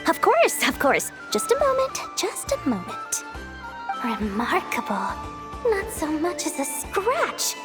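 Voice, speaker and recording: A tiny girlish trader with a very high, swooping voice. The talk is flowery, fawning salesmanship, theatrical and eager for coin, as in an animated video game, with music underneath. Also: takes it slowly, heavily acted. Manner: effusive, flowery salesperson's patter. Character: small girlish merchant, flattering, money-minded, theatrical.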